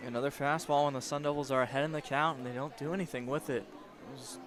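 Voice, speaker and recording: A man's voice: medium pitch, steady tone, fast talker, crowd noise. A man giving live baseball color commentary, explaining and judging the play in a conversational, opinionated way. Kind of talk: live analytical sports commentary. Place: outdoor baseball stadium broadcast position.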